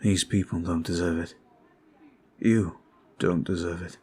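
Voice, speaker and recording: A man voicing a brooding male vampire who is weary and guilt-ridden, talking slowly and softly in an ASMR roleplay. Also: low-pitched, fairly even intonation, a little hiss or hum. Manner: slow, soft, confiding. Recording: faint music behind.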